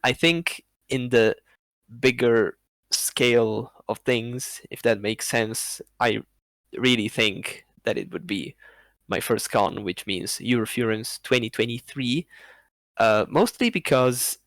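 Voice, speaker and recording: A man as a podcast guest, telling a story in a rambling, animated way with laughs between phrases. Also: mid-range voice, slow, creaky, no room sound. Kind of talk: casual podcast chat.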